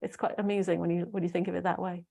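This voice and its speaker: Musing woman host, slightly croaky. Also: on the low side, level tone, very fast, dark tone, slight background noise. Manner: musing, rambling, with frequent fillers and restarts. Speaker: spiritual podcast host. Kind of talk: casual solo talk to listeners.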